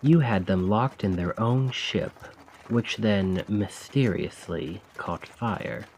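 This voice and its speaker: Man acting a slow, sly, vengeful carriage driver. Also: fairly low pitch, a little mellow, a little hiss or hum, rough recording. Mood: sardonic, accusing. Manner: slow, sardonic, conspiratorial, taunting. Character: vengeful male carriage driver, sly, mocking, coldly controlled. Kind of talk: ASMR roleplay audio drama monologue.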